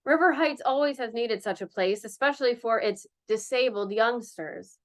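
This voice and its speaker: A woman reading a mystery novel aloud in an animated, theatrical way, breaking in with amused asides. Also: a little high, moderate pace. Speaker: reader of a children's mystery novel.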